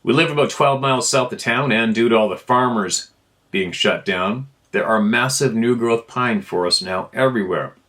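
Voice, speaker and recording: A man's voice: mid-range voice, normal speed, a bit bright. A man reading a first-person account aloud in an informal storytelling style. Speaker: narrator reading listener emails.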